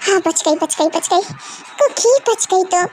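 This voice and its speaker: A woman acts out a sulky young daughter in a comic skit. She whines and complains in a very high voice, with faint noise behind her. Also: lively intonation, measured pace, darkish tone. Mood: relieved. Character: sulky, tipsy teenage daughter. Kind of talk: comic sketch acting.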